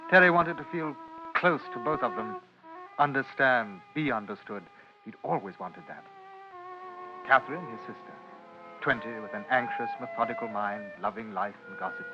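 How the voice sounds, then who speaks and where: Man as measured narrator over music. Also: medium pitch, sing-song, close to an everyday voice. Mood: reflective. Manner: measured, poetic, fragmentary phrasing. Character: reflective narrator recalling a young man's memories. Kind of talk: scripted literary narration for 1950s radio drama. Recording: muffled old radio recording.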